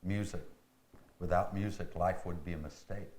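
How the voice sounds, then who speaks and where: A man's voice: low-pitched, steady tone, moderate pace. A man chatting casually as a panel guest in a dry, terse manner. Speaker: actor being interviewed. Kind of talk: casual chat. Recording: echoey room with clear background noise.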